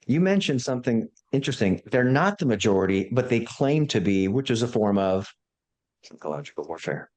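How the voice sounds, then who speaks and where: A man's voice: mid-range voice, big pitch swings, fairly quick, a little mellow. A man hosting a discussion and reading out questions informally, hesitant and often stumbling over his words. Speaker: host and moderator.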